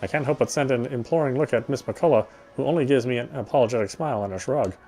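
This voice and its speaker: A man's voice: medium pitch, fast talker, over music. A man playing a visual novel game, reading its first-person narration aloud. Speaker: game player reading story text aloud.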